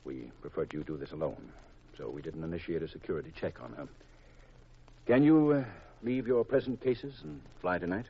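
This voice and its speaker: An actor playing a grave, secretive government intelligence chief in a 1950s radio drama, deliberate and confidential on a vintage recording. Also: male voice, deep voice, lively intonation, breathy edge, muffled recording, full character voice. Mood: grave, instructing.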